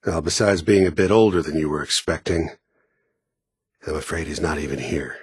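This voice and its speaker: A man voicing a cold, world-weary mercenary killer in a video game, gravelly and husky, with a sardonic, deliberate, taunting manner. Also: a bass voice, dry recording, clean studio sound, exaggerated voice. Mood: mocking, calm.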